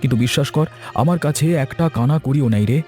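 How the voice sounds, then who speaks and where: A man's voice: medium pitch, quick pace, darkish tone, faint music behind, lightly acted. A man voicing an earnest, self-sacrificing eldest brother of a village family in a cartoon. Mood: pleading. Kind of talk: Bengali cartoon dubbing.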